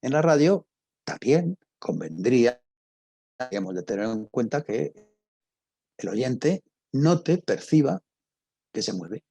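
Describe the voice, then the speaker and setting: A man's voice: mid-range voice, big pitch swings, takes it slowly, long pauses, mellow, slightly rough, no echo. A man giving an informal explanatory lesson as a teacher, in a didactic manner, rephrasing as he goes with lists and examples. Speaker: drama teacher and academic.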